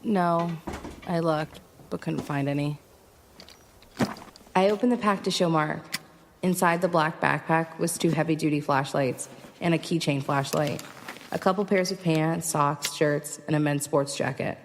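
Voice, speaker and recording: A woman's voice: low-pitched, unhurried, a little hiss or hum. An actress playing a resourceful, stubborn woman survivor in an audio drama, narrating and speaking in a terse, matter-of-fact way. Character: resourceful, stubborn female survivor traveling west. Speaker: lead actor and first-person narrator. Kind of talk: audio drama acting with first-person narration.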